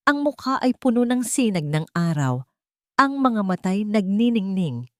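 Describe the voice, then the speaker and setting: A woman narrating a scripted drama in short, measured, emotive phrases. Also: medium pitch, big pitch swings, dry recording, clean studio sound.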